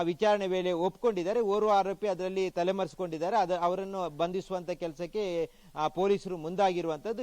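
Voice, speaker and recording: A man reporting live news, explaining haltingly and repeating himself. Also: high-pitched, a little mellow, no room sound.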